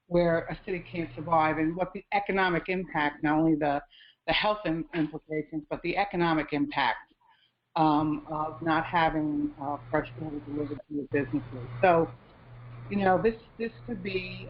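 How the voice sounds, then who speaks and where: A woman who is a communications director, speaking earnestly and at length on a conference call, slowing down on her fillers. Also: low-pitched. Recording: muffled conference-call audio, faint noise.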